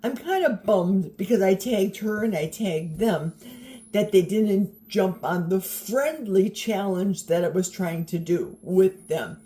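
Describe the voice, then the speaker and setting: An older woman talks slowly and easily to a handheld camera while she cooks in her home kitchen. Her voice is mellow and warm, and her tone is chatty, encouraging and a little wry. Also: medium pitch, animated. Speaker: vlogger. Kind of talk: casual vlog chat while cooking.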